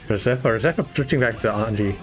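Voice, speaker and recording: A man's voice: lowish voice, fast, faint music behind. A man from Germany, the tournament organizer, giving casual, rambling live commentary on his own and chatting with viewers. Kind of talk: solo live esports commentary.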